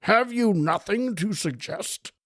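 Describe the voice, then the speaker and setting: Drunken tyrant king: slow, highly animated, bullying and impatient, very dry close sound, radio horror drama. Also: dry recording, full character voice. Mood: impatient, fierce. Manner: imperious, impatient, mocking. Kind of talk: old-time radio horror acting.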